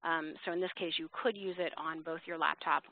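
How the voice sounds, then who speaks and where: Over a narrow phone-like line with no room sound, a woman presents a webinar. Her voice sits fairly low. She explains things informally, answering questions and thinking aloud as she goes. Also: fairly even intonation, brisk, no echo. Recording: tinny, dry phone-line audio.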